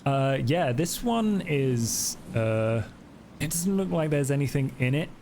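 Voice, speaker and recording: A man improvising narration as game master of a tabletop roleplaying game, in a halting, descriptive way. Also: mid-range voice, animated, a little mellow, a little hiss or hum.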